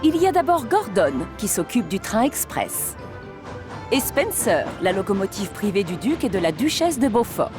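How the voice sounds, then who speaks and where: Woman, storybook narration, expressive melody, lightly high voice, background music. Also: moderate pace. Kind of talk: scripted children's story narration. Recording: music behind the voice.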